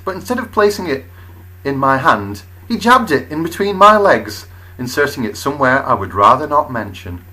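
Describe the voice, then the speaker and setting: A man narrating a comic first-person story as a storyteller, expressive, with wry, deadpan understatement. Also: mid-range voice, low-quality recording.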